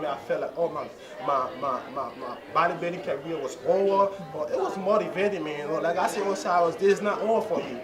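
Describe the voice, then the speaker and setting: Young guy from liberia, earnest, keeps repeating himself, slightly high voice, loud crowd behind. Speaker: bodybuilding champion. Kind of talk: post-show interview. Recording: loud crowd at a contest venue.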